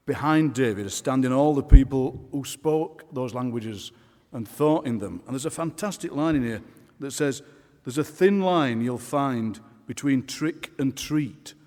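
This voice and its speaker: A man presenting a poet in a live speech, speaking emphatically and deliberately, repeating key phrases for effect. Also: mid-range voice, mellow. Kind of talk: live speech of praise introducing a reading. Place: on stage in a large concert hall. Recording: reverberant large concert hall.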